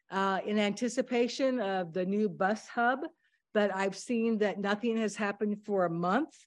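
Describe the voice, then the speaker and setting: A woman with a naturally loud voice speaks at a council meeting's public comment. She talks plainly and directly, pausing with ums, at a middle pitch.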